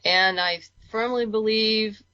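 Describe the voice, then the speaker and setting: Bright-voiced woman on muffled audio. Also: a little high, steady tone, slow, no room sound. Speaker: interview guest, comics creator. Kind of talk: casual chat.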